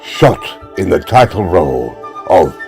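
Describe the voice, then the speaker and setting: A man giving a measured episode introduction at the start of an audiobook. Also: low voice, very animated, vocal fry, a little mellow, faint music behind. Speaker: episode announcer.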